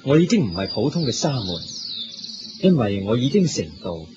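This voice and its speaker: Radio drama sage, male actor: measured, instructive, Hong Kong Cantonese accent, muffled lo-fi sound with faint music. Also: medium pitch, big pitch swings, natural voice. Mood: gracious, calm. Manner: measured, instructive, gently reassuring. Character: the Buddha, serene, kindly male sage and teacher. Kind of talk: Buddhist radio drama acting.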